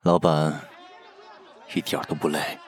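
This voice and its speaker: A man's voice: extremely low pitch, level tone, a little slow, a faint crowd, slight background noise. A man playing a shrewd, cheeky young gambler with a wry, grumbling manner in a Chinese audio drama. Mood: cool, confident. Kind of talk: Chinese audio drama acting with inner monologue.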